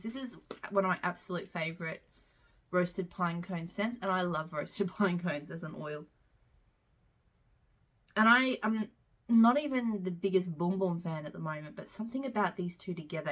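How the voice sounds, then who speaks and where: Woman, congested, head cold, chatty vlogger, rambling, lowish voice, at home. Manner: rambling, chatty, frequent "um" and side tangents. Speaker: wax melt reviewer. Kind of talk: casual chatty product review vlog.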